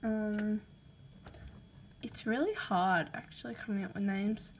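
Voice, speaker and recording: Woman, Australian accent, slow, hesitant, apologetic, casual voice message, faint noise. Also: mid-range voice, steady tone. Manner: hesitant, many ums, apologetic. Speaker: podcaster listing name ideas.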